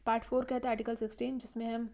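A woman talks through lecture slides in a mellow, fairly high voice that stays flat and even. She reads the clauses haltingly, with many "uh" fillers and restarts, and mixes in English legal terms. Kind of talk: slide-based lecture explaining a legal text. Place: voice-over on slides.

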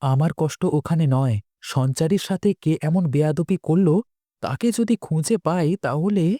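A man's voice: on the high side, normal speed, dark tone, dry recording, studio quality, natural voice. A man voicing an earnest, loyal, worried village boy in a cartoon, with a pleading way of talking. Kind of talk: dubbed cartoon dialogue.

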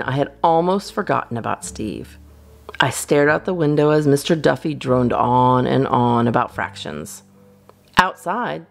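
A woman's voice: deep voice, creaky. A woman reading a children's storybook aloud expressively, as a storytime reader. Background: guitar music.

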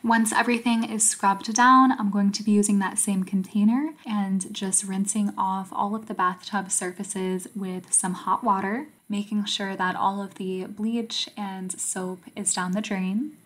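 Calm young woman narrating a vlog. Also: medium pitch, measured pace, few pauses. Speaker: vlogger. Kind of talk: scripted voice-over narration.

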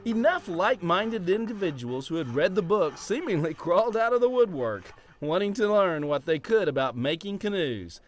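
A man's voice: sits high, very animated, moderate pace, few pauses. A man reading scripted narration for a show with a storytelling lilt. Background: background music.

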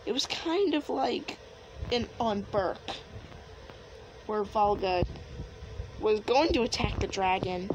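A woman playing a gentle, reminiscing mother in an improvised game roleplay, telling her story haltingly. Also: medium pitch, noisy background. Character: gentle, reminiscing mother of a young dragon tamer.

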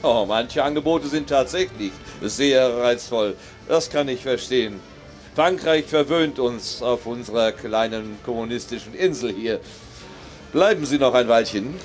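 A man's voice: medium pitch, unhurried, faint music behind, lightly acted. A middle-aged man playing a genial, ceremonious Soviet ambassador in a live radio play, with formal, florid phrasing. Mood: gracious. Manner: formal, florid, speech-making. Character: genial, ceremonious Soviet ambassador hosting a New Year reception. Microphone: headset on an outdoor festival stage.

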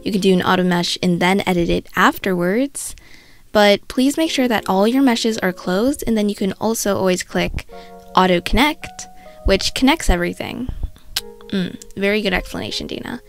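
A young woman narrates a screen tutorial with a stuffy, congested voice from a cold. She keeps it casual and chatty, tossing in dry jokes at her own expense. Soft music plays faintly behind her. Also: mid-range voice, animated, normal speed. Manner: casual, chatty, self-mocking asides. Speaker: art tutorial host.

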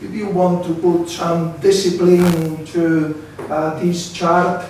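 A man in his sixties from Spain with a Spanish accent, giving a seated conference presentation with a halting, hesitant delivery. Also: a little high, flat delivery, darkish tone. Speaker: academic speaker, research council member. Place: seminar room, indoors. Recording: very echoey room, distant mic, faint noise.

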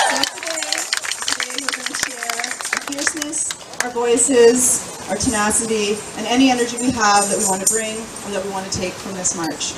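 A young woman organizer giving an earnest public speech at an outdoor rally, halting now and then with fillers and restarts, heard through a handheld mic and speakers. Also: on the high side. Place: outdoor city square at a rally. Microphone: handheld mic through speakers, filmed from far off. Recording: echoey PA sound from far off, loud crowd noise.

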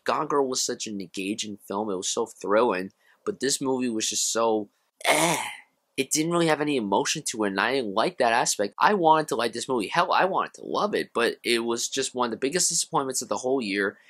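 Young adult American male, medium pitch, casual opinionated vlog reviewer, recorded at home in a small room. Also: lively intonation, brisk, fairly bright tone. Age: young adult, around college age. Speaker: movie reviewer.

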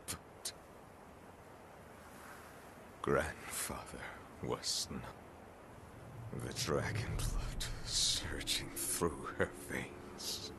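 An actor playing a proud, obsessive samurai lord in a video game, deep-voiced, portentous and grimly determined. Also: male voice, exaggerated voice. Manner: slow, portentous, theatrical. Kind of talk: dramatic video game acting. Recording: very loud background noise and ambience.

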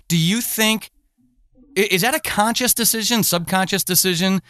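A man's voice: sits high, moderate pace, bright, forceful tone, no echo. A middle-aged man hosting a conversational podcast interview, circling his way through wordy, hesitant phrasing.

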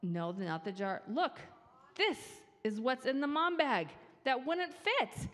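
A woman's voice: sits high, slow pace. A middle-aged woman leading a playful children's talk with a handheld mic on a church stage. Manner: animated, playful, talks to kids. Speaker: children's story leader. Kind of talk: lively children's talk with a game. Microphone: handheld microphone. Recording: reverberant church hall.

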